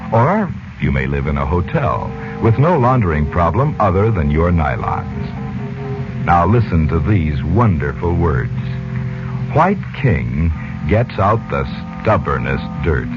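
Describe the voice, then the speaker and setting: A man announcing and reading an advertisement in polished, emphatic 1940s radio style. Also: low voice. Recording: muffled old radio recording. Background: loud music.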